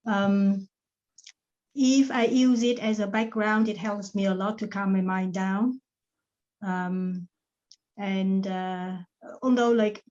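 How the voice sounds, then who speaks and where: A woman's voice: medium pitch, dark tone. A woman taking part in an online class, answering hesitantly and casually. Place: Zoom call. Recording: dull, lo-fi video call.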